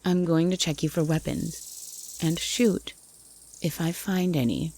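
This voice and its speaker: A woman playing a gruff, wary cabin dweller, blunt and grumpy, in a horror audio roleplay. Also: lowish voice, steady tone, slow, a little mellow. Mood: grudging, wary. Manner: blunt, grumpy, foul-mouthed.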